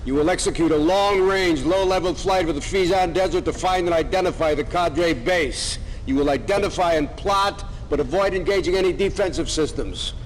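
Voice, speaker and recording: Man playing naval air commander, stern, authoritative, raised pitch, echoing hall, faint noise. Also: a little fast, hardly any pauses, close to an everyday voice. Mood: commanding, grave.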